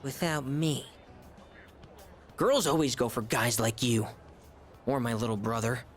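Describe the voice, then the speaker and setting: An actor voicing a gluttonous, self-important high-school boy in a video game, in a bombastic, theatrical manner. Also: a little slow, faint music behind, lightly acted. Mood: gloomy. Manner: bombastic, dramatic swings between squeals and low asides. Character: gluttonous, pompous, theatrical male high-school student, self-styled gourmet.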